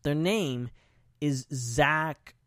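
A man's voice: on the high side, very slow, a bit bright, no room sound, clean studio sound. A man co-hosting a podcast in casual chat, talking informally in loose fragments peppered with "like". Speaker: podcast co-host.

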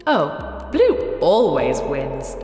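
A woman's voice: sits low, wildly swooping pitch, drawn-out words, mellow, full character voice. Swooping, bratty female AI. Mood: smug, gleeful. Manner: childlike taunting, theatrical, sing-song. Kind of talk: science fiction audio drama acting. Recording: echoey, long reverb, music behind.

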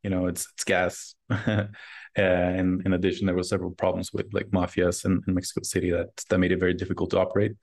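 A man, a startup founder, answering interview questions casually, often repeating words and pausing with fillers. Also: sits low, monotone, darkish tone.

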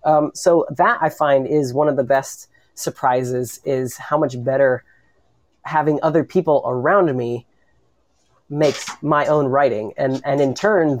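A man speaking as a guest writer on a discussion panel, earnest and halting, often repeating his words. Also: mid-range voice, darkish tone.

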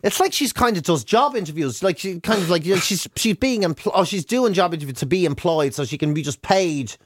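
A man's voice: high-pitched, expressive, a little fast, dry recording, studio quality. An Irish man co-hosting a radio show with comic, casual banter, mocking and rambling in colourful slang.

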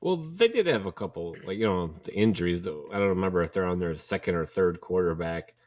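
A man with a lowish voice chats easily on a sports podcast. He is laid-back and slangy. The sound is dry. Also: expressive, brisk, a little mellow, dry recording. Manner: laid-back, says bro and dude. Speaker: podcast co-host. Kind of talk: casual chat.

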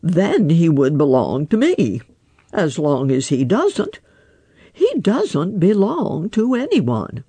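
A wise old frog, voiced by a storybook reader, mild and unhurried, drawing the listener along with calm questions. The voice sits somewhat above the reader's own and moves slowly. Gravelly and close, with a dry sound. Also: medium pitch, no echo, voice pushed up, heavily acted.

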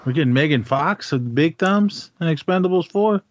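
A man's voice: on the high side, expressive, normal speed, a little hiss or hum. A man co-hosting a podcast, throwing in jokey, teasing asides. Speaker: podcast co-host. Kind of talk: casual podcast chat. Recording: dry, dull lo-fi podcast audio.

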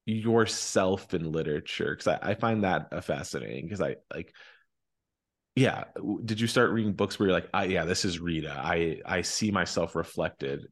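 A man with a deep, low voice hosts a relaxed conversational interview. He rambles a little, doubling back to correct himself as he works toward his questions. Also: moderate pace. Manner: informal, rambling, self-correcting. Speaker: podcast host. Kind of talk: casual conversational book interview.

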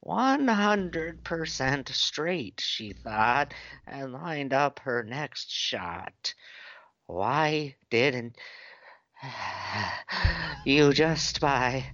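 A man reading a novel aloud as an old lady, slow and halting. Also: medium pitch, sing-song, a bit bright, no echo, highs cut off, exaggerated voice. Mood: plodding.

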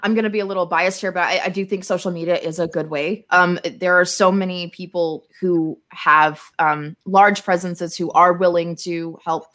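A woman speaking as a podcast guest in casual conversation, with an informal, candid manner that often softens her points. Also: fairly low pitch, fairly even intonation, moderate pace, few pauses.

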